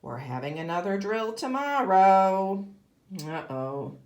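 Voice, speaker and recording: A woman kindergarten teacher reading a picture book aloud expressively to young children, pausing to ask them questions. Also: mid-range voice, sing-song, a little mellow, highs cut off. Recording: roomy, echoey sound.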